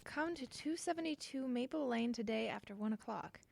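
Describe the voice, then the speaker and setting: An actress playing a gentle, hesitant mother in a radio drama. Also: fairly high pitch, animated, normal speed, gravelly, background noise. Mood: puzzled.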